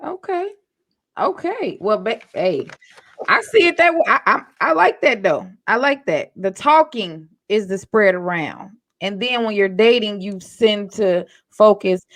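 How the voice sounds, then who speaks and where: A woman hosting a casual chat, upbeat and asking quick questions. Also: mid-range voice, lively intonation, fairly bright tone, no room sound. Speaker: host.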